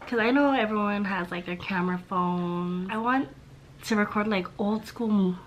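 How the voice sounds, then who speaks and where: A young woman in her twenties, a little hoarse from a sore throat, talking softly and haltingly to a camera in a small room at home. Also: mid-range voice, highs cut off, slight background noise. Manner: soft-spoken, halting, with restarts. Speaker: vlogger. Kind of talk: casual talk to camera. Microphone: camera on a stand facing her.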